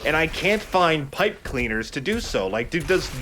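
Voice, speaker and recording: A man's voice: fairly high pitch, sing-song, normal speed, bright, forceful tone, a little hiss or hum. A man hosting a let's play with chatty, casually sweary gaming commentary.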